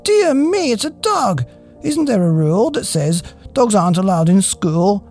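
A woman's voice: mid-range voice, sing-song, a little slow, a little mellow, soft background music, voice pushed up. A woman voicing a pompous, fussy lord who scolds indignantly in a children's storybook. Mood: fussy, shocked. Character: pompous, outraged board president, Lord Cookerface, shooing a dog.

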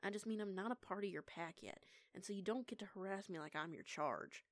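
A woman's voice: medium pitch, no echo, close to an everyday voice. A young woman playing a defiant eighteen-year-old punk lone-wolf werewolf in an audio roleplay, with a casual, sweary, sarcastic manner. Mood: irritated, defensive. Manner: sweary, casual, sarcastic, halting when flustered. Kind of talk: intimate one-sided audio roleplay acting.

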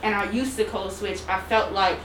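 Young woman, emphatic and brash, mid-pitch, mic distant in a reverberant room with noise. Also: fairly even intonation. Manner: emphatic, loud and unapologetic, drawn-out phrasing. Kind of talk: casual group discussion.

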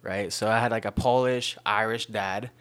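A young American man telling his own story in casual conversation, haltingly, repeating phrases and often checking in with the listener. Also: lowish voice, steady tone, a bit bright, smooth. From Long Beach, California, United States. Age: young adult. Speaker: podcast guest.

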